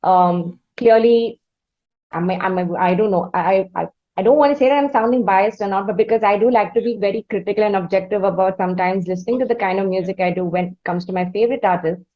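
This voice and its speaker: A woman interviews in a conversational, effusive way. Her voice sits at a middle pitch, and she rambles with halting restarts. The recording is a little dull and lo-fi. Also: steady tone. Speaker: interviewer, host.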